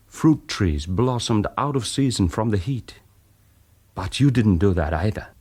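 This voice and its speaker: Deliberate, self-justifying former German spy. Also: male voice, on the low side, darkish tone. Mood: earnest, pleading. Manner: deliberate, halting, argumentative. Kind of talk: naturalistic radio drama acting.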